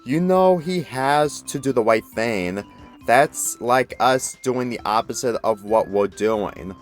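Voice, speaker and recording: A man's voice: medium pitch, slow, faint music behind, voice pushed up, close to an everyday voice. A man voicing a brash, confident young female pegasus pony in a story reading, assertive and boastful. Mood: defensive.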